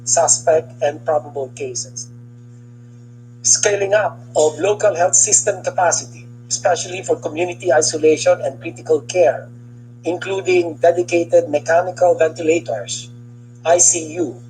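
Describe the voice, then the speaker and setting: A government official with a Filipino accent reads a formal briefing from a document, enunciating lists deliberately. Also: medium pitch, a little mellow, low-quality recording. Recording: clear background noise and hum.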